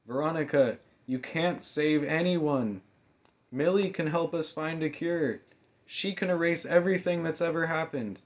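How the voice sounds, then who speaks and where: A man's voice: a little high, measured pace, pitched above the performer's own voice. A man voicing a stubborn young girl heroine in an audiobook, pleading with breathless, desperate defiance. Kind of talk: audiobook character dialogue.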